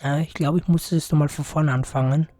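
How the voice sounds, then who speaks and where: Hoarse young man chatting over a game. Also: fairly high pitch, fairly even intonation, fairly quick, mellow. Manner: rambling, mumbled asides. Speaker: let's play host. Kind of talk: casual gameplay commentary. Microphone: close to the microphone.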